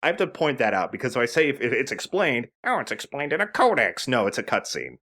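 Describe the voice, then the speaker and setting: A man's voice: mid-range voice, sing-song, quick pace, pushed and bright. A man giving casual, chatty commentary as he explains a video game. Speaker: let's play host.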